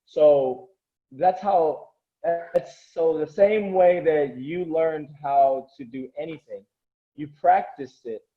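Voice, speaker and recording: A man's voice: fairly high pitch, slow pace, narrow, tinny audio. A man who coaches and grew up speaking Korean, talking earnestly and motivationally in a casual interview, often restarting his sentences.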